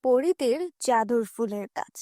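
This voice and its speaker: A woman's voice: on the high side, a little slow, dark tone, dry recording, clean studio sound. A woman narrating a scripted fairy tale for children.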